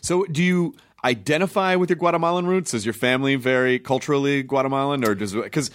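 A man from Memphis hosting a casual interview, animated and jokey, laughing often. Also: medium pitch, fast. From Memphis, United States. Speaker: podcast host.

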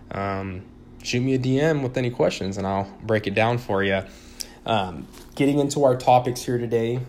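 A young man talking casually and haltingly on his solo podcast, using informal slang. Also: on the low side. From California, born in Puerto Rico. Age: young adult. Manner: informal, slangy, hesitant with frequent pauses. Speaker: podcast host.

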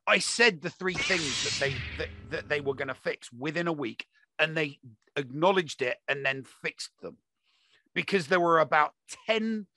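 A man's voice: on the high side, expressive, normal speed, bright, forceful tone, no room sound. A man in a casual, opinionated gaming discussion, talking emphatically and repeating himself to drive points home.

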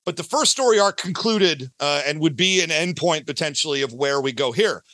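A man's voice: on the high side, lively intonation, fairly quick, bright, forceful tone, no room sound. A middle-aged man chatting casually as a podcast guest, rambling and emphatic in an enthusiastic, gushing way. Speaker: podcast guest, audio drama creator. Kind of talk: casual, rambling interview chat.

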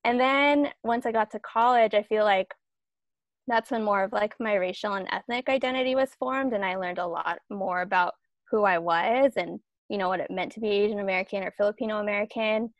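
A woman's voice: mid-range voice, brisk, dry recording. Reflective young woman, dry sound. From Los Angeles. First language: Tagalog. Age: young adult. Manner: reflective, storytelling. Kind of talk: casual conversation.

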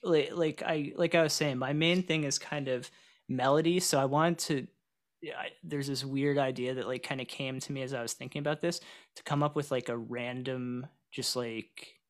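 A man's voice: medium pitch, fairly even intonation, normal speed. A young man, a singer-songwriter guest, chatting loosely on a live stream call with many fillers and restarts. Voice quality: gravelly. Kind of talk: casual live-stream interview.

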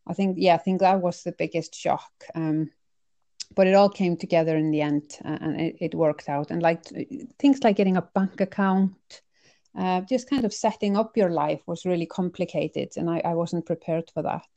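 A middle-aged woman from Iceland shares personal experiences in a relaxed interview. Her tone is dark and mellow, without sharp edges. She speaks conversationally, reflecting as she goes and hesitating over her phrasing. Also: on the low side, normal speed, dull audio. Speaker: interview guest.

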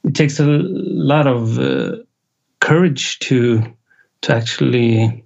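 A Swedish-accented man in middle age talks slowly in a relaxed interview, his voice gravelly with vocal fry. He pauses often on fillers and chuckles between thoughts. The recording sounds dull and lo-fi. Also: mid-range voice. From Sweden. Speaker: independent musician guest. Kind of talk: casual interview chat.

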